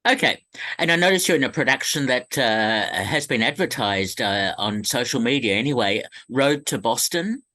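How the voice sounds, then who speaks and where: A man's voice: mid-range voice, expressive, moderate pace, sharp tone. A man hosting a polite arts interview, asking questions and responding to his guest. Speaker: interviewer, host.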